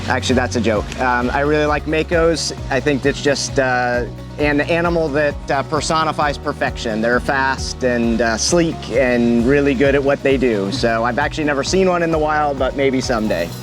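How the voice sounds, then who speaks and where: A man's voice: mid-range voice, normal speed, music in the background. A man giving a casual self-introduction to camera in a joking, hesitant way.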